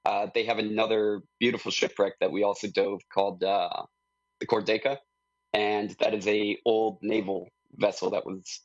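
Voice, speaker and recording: Low male guest on a dull lo-fi line. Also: moderate pace, no room sound. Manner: calm, descriptive. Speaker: photographer, guest. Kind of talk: casual podcast chat.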